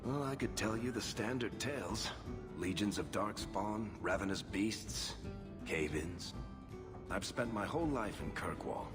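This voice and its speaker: An affable male dwarf from a fantasy game, with a low voice. He talks wryly and conversationally, his pitch moving freely. Music plays behind him. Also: animated, unhurried. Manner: wry, conversational.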